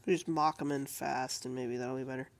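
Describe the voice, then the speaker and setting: A woman artist chatting casually and with amusement on a livestream while she draws, in a rambling, animated way. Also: very deep voice, normal speed. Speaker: comic artist streaming while drawing.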